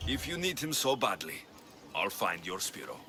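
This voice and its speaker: A man's voice: fairly low pitch, big pitch swings, normal speed, sharp tone, slight background noise. A man acting a capable, sardonic Greek mercenary in a video game cutscene, with a dry delivery. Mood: probing. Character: wry, capable male Greek mercenary for hire.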